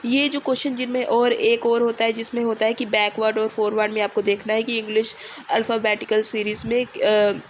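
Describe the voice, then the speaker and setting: A young woman from India with a hoarse voice and a fever, giving casual, hesitant exam advice with many pauses. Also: on the high side, level tone, a little hiss or hum. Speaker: exam candidate giving tips.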